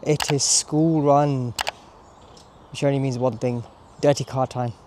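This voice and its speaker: A man's voice: mid-range voice, steady tone, unhurried, darkish tone, a little hiss or hum. A man in his late forties with a British accent, chatting casually to camera in a jokey vlog with playful asides. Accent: British English. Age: 40s. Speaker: vlogger.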